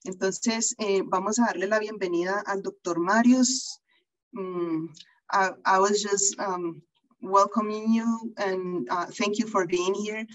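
A woman's voice: medium pitch, fairly even intonation, measured pace, rough recording. A woman in her thirties hosting an online seminar, introducing the guest speaker in a hesitant way with many fillers. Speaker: seminar host. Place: indoor room with bookshelves, video call.

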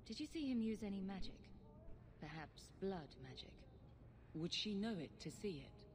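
A woman's voice: medium pitch, big pitch swings, unhurried, background noise. An actress playing a composed, businesslike woman in a fantasy video game. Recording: reverberant game audio over clear ambience.

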